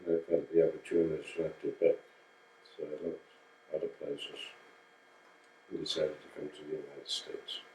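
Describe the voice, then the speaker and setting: An older man from Denmark tells his story slowly and plainly, now and then stopping to correct himself. His voice is very low and mellow. The recording sounds roomy and picked up from a distance. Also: flat delivery, slight background noise. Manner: slow, plain storytelling with restarts and self-corrections. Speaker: interviewee. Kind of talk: oral history recollection.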